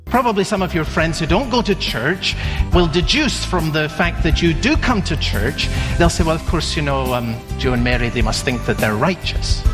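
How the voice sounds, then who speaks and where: A male pastor preaches in an emphatic sermon excerpt. His voice sits high for a man. Music plays under it. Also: expressive, normal speed.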